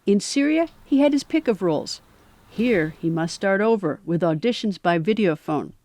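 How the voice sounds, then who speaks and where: A woman's voice: medium pitch, lively intonation, moderate pace, darkish tone. A woman reading scripted narration as the narrator of a documentary.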